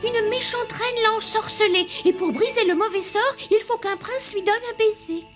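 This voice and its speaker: A sweet little girl creature from a cartoon fairy tale. The voice is extremely high, innocent and polite, with a singsong lilt. Clear music runs underneath. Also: normal speed. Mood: eager, surprised. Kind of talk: French cartoon dub acting.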